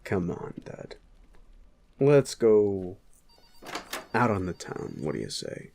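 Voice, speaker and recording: A man acts out a sleazy male werewolf boss for an audio roleplay, speaking straight to the listener. The voice sits low and moves very slowly, drawling each jab. He is sardonic and bullying, with a gruff, flirtatious edge. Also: very animated, a little mellow, full character voice.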